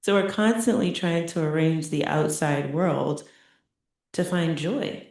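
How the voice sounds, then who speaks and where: A woman with a low, dusky voice gives a relaxed, chatty meditation teaching. She keeps checking in with her listeners and laughs lightly between points. The sound rings around a very echoey room. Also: a little slow. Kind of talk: conversational dharma talk.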